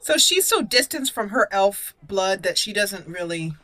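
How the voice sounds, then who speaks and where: A woman's voice: mid-range voice, big pitch swings, unhurried, pushed and bright, no echo. A woman giving roleplay commentary as the host of a gaming channel.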